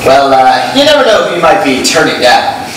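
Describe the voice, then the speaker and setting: Young male actor as nervous, eager suitor, stage comedy; animated, stammering, heard from afar in a noisy theater. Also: medium pitch, moderate pace, close to an everyday voice. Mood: polite, hopeful. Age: young adult. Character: eager, awkward young man chatting up a stranger. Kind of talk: stage comedy acting, projected. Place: theater stage, far camera. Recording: echoey theater, distant mic, noisy.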